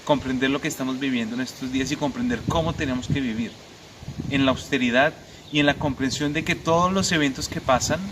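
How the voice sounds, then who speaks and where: Colombian friar preaching outdoors with audible background noise. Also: male voice, medium pitch. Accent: Bogotá Colombian Spanish. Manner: calm reflective preaching with frequent "eh" pauses. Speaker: Franciscan friar. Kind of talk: pastoral message to families. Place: outdoors at a convent beside a church.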